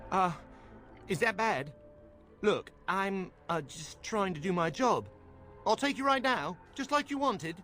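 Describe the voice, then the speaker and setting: A man's voice: high voice, animated, a little slow, pushed and bright, faint music behind, exaggerated voice. A man playing an officious, smug young templar guard in a fantasy game, blustering and sarcastic. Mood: nervous, cowed.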